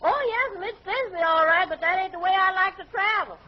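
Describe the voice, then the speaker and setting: An actress voicing a good-natured, wry housemaid, in a folksy 1940s radio comedy manner. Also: female voice, very high-pitched, fairly quick, a loud crowd. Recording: noisy, muffled vintage radio broadcast.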